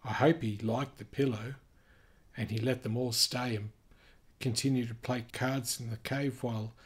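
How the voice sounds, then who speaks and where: A man reading a children's picture book aloud, gently and expressively, with chatty asides. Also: medium pitch, steady tone, moderate pace, slightly rough.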